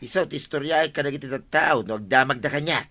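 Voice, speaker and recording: A man acts out a cheeky villager in an Ilocano radio comedy drama, always wheedling. His voice sits at a middle pitch with a sharp, bright edge. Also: fast talker, natural voice. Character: cheeky neighbour begging favours of the captain.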